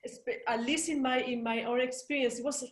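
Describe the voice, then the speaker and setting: A woman's voice: high-pitched, steady tone, fairly quick, echoey room. A woman interviewing a guest over a video call, speaking casually and encouragingly.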